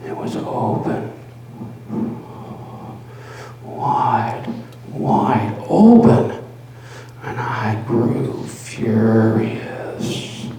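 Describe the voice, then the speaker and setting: A man voicing a mad, obsessive murderer confessing his crime in a dramatic gothic horror reading, halting and theatrical, moving between breathy whispers and loud outbursts. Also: medium pitch. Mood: furious, manic. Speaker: storyteller. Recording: roomy live recording with faint noise.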